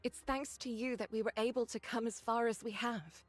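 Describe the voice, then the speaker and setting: A woman voicing a loyal, resolute woman fighter in a game, measured, frank and a little dry. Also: a little high, steady tone, faint music behind, close to an everyday voice. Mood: supportive. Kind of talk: scripted game voice acting.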